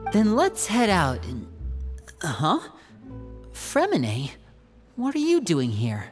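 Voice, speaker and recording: Courteous youth, low and lively. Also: female voice, slow, faint music behind, exaggerated voice. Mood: friendly, helpful. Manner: courteous, assured. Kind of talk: anime video game voice acting.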